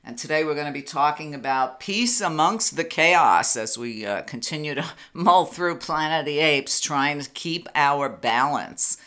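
A woman's voice: low voice, a bit bright. An older woman hosting a talk show, friendly and chatty, laughing easily. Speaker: host. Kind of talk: casual chat.

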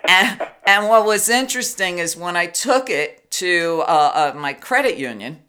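A woman hosting a radio talk show, with a loud voice, telling stories with emphatic, quick asides. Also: lowish voice, animated, smooth. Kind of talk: casual radio interview.

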